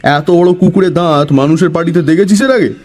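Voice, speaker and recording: A man's voice: a little high, fairly quick, darkish tone, voice pushed up, natural voice. A man voicing an eccentric, half-crazy village man who quizzes his listener in an emphatic, knowing, teasing way in a dramatised story.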